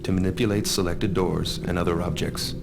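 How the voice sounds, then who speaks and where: A low-voiced, formal game mentor. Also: male voice, steady tone, vocal fry. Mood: calm, instructive. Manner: measured, formal, instructive. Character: stern, composed male mentor training a young thief. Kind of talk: scripted game tutorial instruction. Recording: game audio with noisy background.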